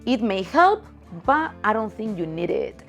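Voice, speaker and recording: A woman's voice: mid-range voice, sing-song, unhurried, fairly bright tone. Frank Spanish-accented woman in her thirties, chatty vlogger who laughs easily, faint music behind. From Spain. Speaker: photography youtuber. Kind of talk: casual direct-to-camera talk.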